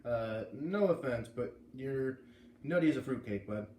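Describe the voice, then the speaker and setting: A man's voice: mid-range voice, animated, a little slow, a little mellow, lightly acted. A man reading the part of an exasperated, plain-spoken, folksy construction foreman in a storybook. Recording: echoey room, faint background noise.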